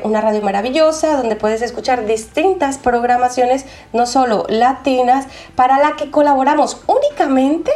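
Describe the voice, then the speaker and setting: High-voiced, laughing woman radio host. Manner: energetic, laughs often, quick bursts of speech. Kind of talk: lively radio interview. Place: radio studio. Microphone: studio microphone.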